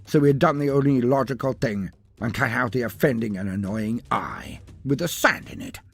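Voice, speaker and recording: A man's voice: medium pitch, slightly rough. A man narrating a scripted fantasy tale in a theatrical, florid and wry manner. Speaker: storyteller narrator.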